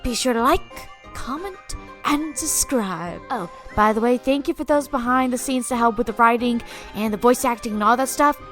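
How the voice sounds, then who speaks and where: A woman's voice: on the high side, normal speed, hardly any pauses, fairly bright tone, soft background music. A woman hosting, giving an exuberant, sing-song, theatrical introduction to her viewers. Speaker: host.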